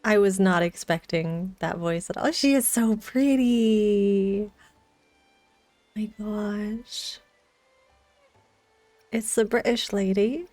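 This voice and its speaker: A woman's voice: mid-range voice, slow, pauses often, a little mellow, no echo, soft background music. A woman streamer reacting casually and gushingly to a game live, with exclamations.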